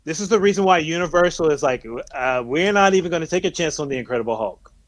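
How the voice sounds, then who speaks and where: A man on a podcast panel chatting casually with opinions, halting and circling back over his phrases. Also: fairly high pitch, expressive, dry recording.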